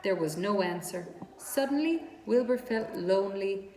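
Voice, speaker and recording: A woman narrates a children's storybook with deliberate, expressive phrasing at a medium pitch. Her voice rings in an echoey room with clear background noise. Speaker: storybook reader.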